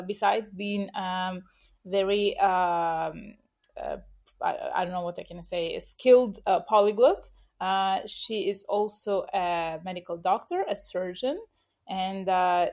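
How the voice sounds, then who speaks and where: A woman's voice: mid-range voice, darkish tone. Hesitant woman podcast host. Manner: hesitant, many filler sounds. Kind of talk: casual interview hosting.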